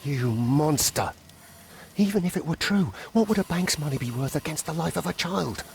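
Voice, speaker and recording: Desperate dad, game cutscene, pleading, indignant, slightly high voice, heavy vocal fry. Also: male voice, expressive, normal speed, slight background noise. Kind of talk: dramatic video game acting.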